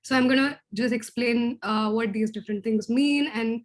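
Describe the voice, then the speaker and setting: A woman researcher giving an online presentation, explaining her method while often clearing a scratchy throat. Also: on the high side, normal speed.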